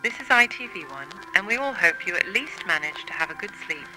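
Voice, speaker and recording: Polite, apologetic male continuity announcer, acted by an amateur, slightly high pitched, clear music bed behind. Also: very animated, a little fast, low-quality recording. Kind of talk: scripted broadcast announcement.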